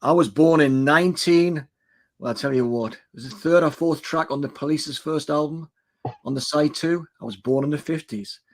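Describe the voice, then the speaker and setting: A man's voice: a little high, moderate pace. Reflective older man on a video call. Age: 60s. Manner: reflective, repeats words as he thinks. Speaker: guest. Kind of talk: casual chat.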